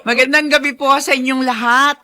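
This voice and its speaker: A woman's voice: sits high, fairly even intonation, a little fast, bright, forceful tone. A woman playing a mayor's wife giving a campaign speech in a Filipino radio drama. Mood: confident.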